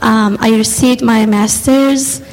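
A woman gives a brief, earnest introduction of herself at a convention. She talks slowly and deliberately, her voice sitting a little high. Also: fairly even intonation, darkish tone, poor audio. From Turkey. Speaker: scholarship finalist. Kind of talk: short speech at a convention.

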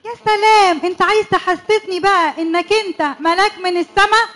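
A woman's voice: high-pitched, natural voice. A woman playing a jealous, suspicious young wife. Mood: accusing. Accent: Egyptian Arabic. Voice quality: sharp, needling, quick to accuse. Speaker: radio drama actress. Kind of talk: church radio comedy-drama acting.

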